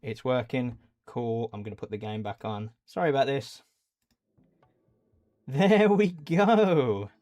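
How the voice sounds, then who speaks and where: A man's voice: mid-range voice, steady tone, normal speed, hardly any pauses, a little mellow, dry recording. A man livestreaming a video game, chatting casually in an apologetic, muttering, sweary way. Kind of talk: casual live stream chat. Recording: music behind the voice. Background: game music, keyboard typing.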